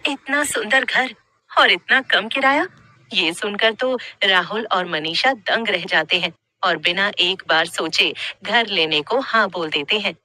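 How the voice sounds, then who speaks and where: A woman's voice: mid-range voice, a little slow, bright, forceful tone. A woman reading scripted horror story narration as the storyteller.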